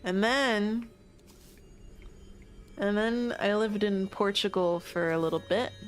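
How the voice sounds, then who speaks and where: A woman's voice: medium pitch, slow. A young American woman streaming a game, chatting casually with her viewers, swearing now and then and laughing often. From United States. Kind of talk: casual gaming livestream chat.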